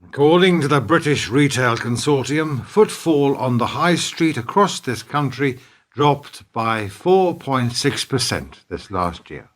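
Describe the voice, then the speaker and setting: A man's voice: medium pitch, expressive, unhurried, close to an everyday voice. A man playing a principled, disillusioned older business partner in a radio play, earnest and accusing. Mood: grim. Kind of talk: radio play acting, dramatic dialogue.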